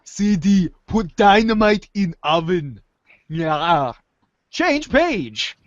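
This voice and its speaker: Animated, high-voiced man reading aloud, unhurried. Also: no room sound, muffled recording. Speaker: podcast host reading a webcomic aloud. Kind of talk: informal group read-aloud of a comic.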